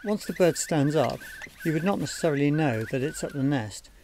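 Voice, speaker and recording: A man giving scripted documentary narration for a wildlife training film in a measured, explanatory manner. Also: fairly high pitch, animated, a little mellow, slight background noise.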